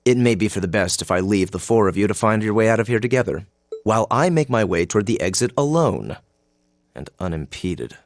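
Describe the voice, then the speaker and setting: Haughty, low-voiced young noble. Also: male voice, expressive. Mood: coolly aloof. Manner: haughty, measured, disdainful. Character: haughty, coolly sardonic young male noble student. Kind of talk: video game voice acting. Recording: music behind the voice.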